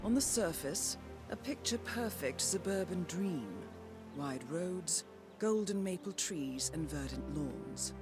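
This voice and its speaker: Loud music sits behind a fairly low-voiced woman as an intelligence handler. She is composed, formal and precise, delivering lines like a cinematic spy game briefing. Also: animated, takes it slowly. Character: composed female intelligence handler guiding an assassin.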